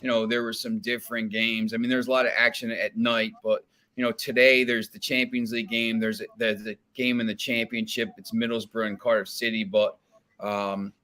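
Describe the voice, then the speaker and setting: A man talks through soccer picks in a loose, rambling way. He repeats words and fills the gaps with "you know" and "like". His voice sits a little low but sounds bright and forward. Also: little pitch movement, moderate pace. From New Jersey, United States. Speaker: soccer betting guest. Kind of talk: casual betting chat.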